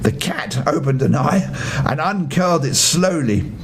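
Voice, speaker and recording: راوٍ مسنّ بصوت حيوي كثير التموّج. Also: male voice, lively intonation, a little slow. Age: older. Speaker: children's story reader. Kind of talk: expressive bedtime story narration. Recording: faint rumbling background noise.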